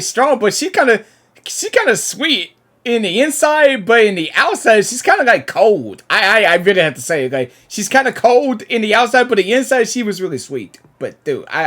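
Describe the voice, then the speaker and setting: Animated woman reacting to a game. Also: medium pitch. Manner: excitable, repetitive, halting. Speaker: reaction video host. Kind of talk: excited casual game reaction commentary.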